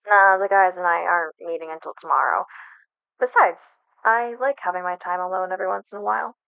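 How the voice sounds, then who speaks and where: A woman's voice: lowish voice, fairly quick, gravelly, clean tone, dry recording, narrow, tinny audio. A young woman acting in an ASMR roleplay as a flustered best friend hiding a crush, speaking casually with hesitant stammers. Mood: casual, evasive. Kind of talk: ASMR roleplay, one-sided conversational acting.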